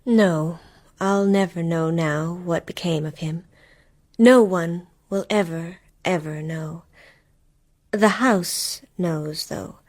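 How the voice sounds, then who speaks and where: An actress playing a weary, grieving wife in a radio play, hushed and brooding, at times slipping into a whisper. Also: female voice, lowish voice, takes it slowly, no room sound. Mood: resigned grief.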